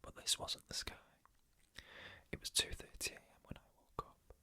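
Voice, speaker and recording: Whispered ASMR horror narrator: very bright, crisp-edged, breathy, measured phrasing, fully hushed throughout. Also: no room sound.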